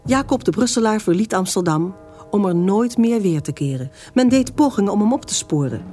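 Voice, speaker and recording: A woman's voice: medium pitch, expressive, brisk, warm tone. A woman narrator reading a scripted story for a radio serial. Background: music.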